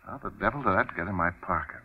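An actor playing an anxious, flustered airline executive, halting and businesslike, in an old-time radio drama. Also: male voice, low-pitched. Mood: alarmed. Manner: halting, hesitant businesslike speech. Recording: dull old radio recording.

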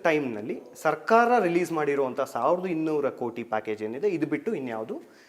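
A man's voice: fairly high pitch, big pitch swings, fairly quick. A man with an Indian accent from Karnataka, giving emphatic explanations as an expert guest and mixing in English terms. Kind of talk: explanatory interview answers.

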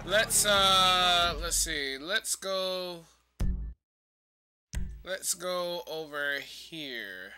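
A man's voice: sits high, expressive, drawn-out words, long pauses, sharp tone, faint music behind. A young man with a congested voice giving loose, rambling casual commentary over a video game, swearing now and then. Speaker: gaming commentator.

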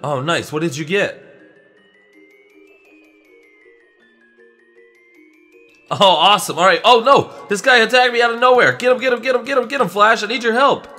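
A man's voice: sits high, fast, soft background music. A young man playing a friendly, eager superhero in a casual, chatty, improvised video game roleplay. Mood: excited. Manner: chatty, excitable, buddy-like.

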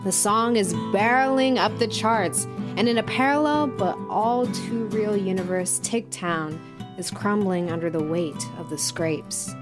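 An earnest documentary narrator, played by a woman, with a medium-pitched voice. She speaks slowly and poetically, treating each phrase with reverence, over clearly audible music. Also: lightly acted. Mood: earnest, reverent. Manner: dramatic, poetic, deliberate.

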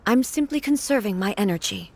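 A woman voicing a grave, regal immortal goddess in a video game, speaking formally and softly in measured phrases. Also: mid-range voice, expressive, a crowd in the background, natural voice. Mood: composed, resolute. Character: solemn, regal, grieving immortal warrior goddess ruler.

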